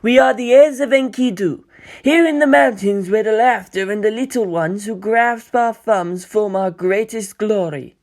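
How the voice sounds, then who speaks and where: Wise elderly monk acted by a man, patient mentor, formal measured delivery, storybook reading. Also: high-pitched, a little mellow, pitched above the performer's own voice. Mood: solemn, patient. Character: wise old male mountain monk, patient teacher.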